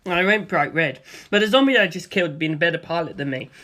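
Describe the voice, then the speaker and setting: A narrator reading a first-person novel aloud in an expressive storytelling style, acting out the action with gusto. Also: mid-range voice, fast. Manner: acts out sound effects with gusto.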